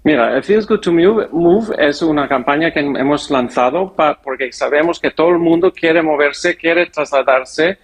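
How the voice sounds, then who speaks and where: A man with a foreign accent in non-native Spanish, answering an interview haltingly and searching for words. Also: a little high. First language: not Spanish. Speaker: interview guest, airline executive.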